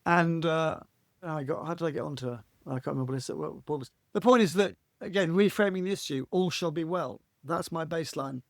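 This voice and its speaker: Husky man, fairly high voice, earnest and rambling, restarts phrases, dry close recording. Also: brisk, dry recording. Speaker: podcast guest. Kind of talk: reflective conversational interview.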